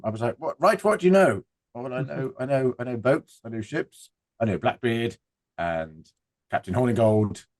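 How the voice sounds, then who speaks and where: A man chatting casually as a panel guest, with a jokey, self-deprecating, animated manner. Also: lowish voice, no echo. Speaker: audio drama writer and panel guest. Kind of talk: casual group chat.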